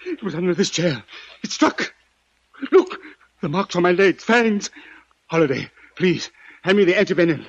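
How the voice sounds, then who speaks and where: An actor playing a cornered, murderous doctor in an old-time radio crime drama, husky, intense and melodramatic, with halting delivery. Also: male voice, high voice, sing-song, no echo, exaggerated voice. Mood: panicked, pleading. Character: cornered murderous male doctor, indignant and desperate. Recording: dull vintage radio recording.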